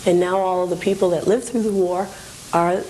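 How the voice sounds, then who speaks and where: A woman's voice: on the low side, fairly even intonation, a little fast, warm tone, poor audio. A woman speaking conversationally as an interview guest, explaining collectibles in a matter-of-fact, informative way. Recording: hissy 1980s cable TV recording.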